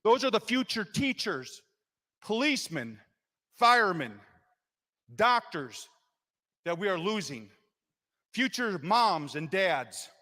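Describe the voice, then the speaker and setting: Legislator, male, impassioned floor speech, slightly high voice, long chamber reverb. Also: sing-song, slow pace, long pauses. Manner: impassioned, rising to loud emphasis. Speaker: state legislator. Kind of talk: floor speech explaining a vote.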